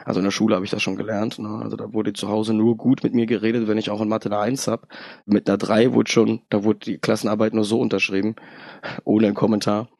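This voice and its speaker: Low gravelly man in his thirties. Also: flat delivery, quick pace, a little mellow, dry recording, studio quality. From Germany. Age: 30s. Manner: reflective, often adds tag questions. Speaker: podcast guest. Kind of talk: personal story in an interview.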